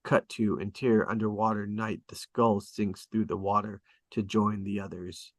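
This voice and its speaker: A man with a slightly low voice narrates a screenplay's stage directions. He is measured and descriptive, breaking the action into short dramatic phrases. The sound is dry, with very little room in it. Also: little pitch movement, dry recording. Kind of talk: scripted screenplay narration at a table read.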